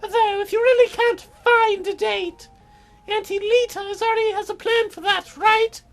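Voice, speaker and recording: A woman's voice: very high voice, steady tone, normal speed, faint music behind, pitched above the performer's own voice. A woman voicing a pushy middle-aged mother from a visual novel, nagging her teenage daughter. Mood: pushy, scolding. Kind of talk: visual novel voice-over put on by a let's-player.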